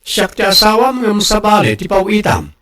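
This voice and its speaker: Man playing pleading, higher-voiced husband. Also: big pitch swings, normal speed, fairly bright tone. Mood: pleading, hurt. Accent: northern Philippine. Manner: hesitant, pleading. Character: debt-ridden, pleading husband. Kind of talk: radio drama acting.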